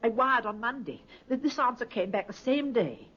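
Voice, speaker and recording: A woman playing an anxious, disapproving middle-aged mother with a husky voice in an old radio drama. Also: a little high, expressive, moderate pace, fairly bright tone. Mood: worried, insistent. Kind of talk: 1950s radio drama acting. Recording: muffled old radio recording.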